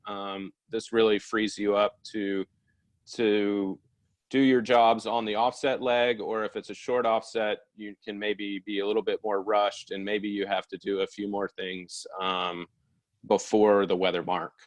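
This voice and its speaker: A man's voice: on the low side, flat delivery, unhurried, no echo. A man who works the pit on a racing sailboat, introducing himself and explaining his role in a friendly, slightly hesitant way. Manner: friendly, a bit hesitant, says he is loud. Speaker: sailing crew pit person. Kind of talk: webinar explanation. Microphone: video call.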